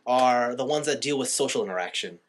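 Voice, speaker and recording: A man's voice: medium pitch, fairly quick. A man hosting a casual movie review podcast, giving opinions and often rewording himself as he goes. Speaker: podcast host.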